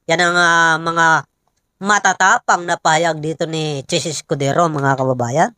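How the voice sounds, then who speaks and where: A Filipino woman giving informal political commentary, pausing often and emphatically putting rhetorical questions to listeners. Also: low-pitched, fairly even intonation, sharp tone.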